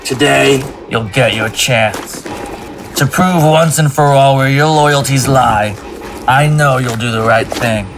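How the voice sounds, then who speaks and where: A man plays a fanatical army captain in an audio drama. He is commanding and clipped, with a mid-range voice. Clear background noise surrounds him. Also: mid-range voice, slow pace. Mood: menacing. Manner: clipped, authoritative. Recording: noisy background ambience.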